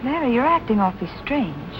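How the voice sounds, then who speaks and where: A woman's voice: fairly high pitch, very animated, a little fast, noisy background. An actress playing a composed, polite, guarded woman in 1940s film noir style. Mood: guarded, concerned. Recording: dull lo-fi old film soundtrack, loud music.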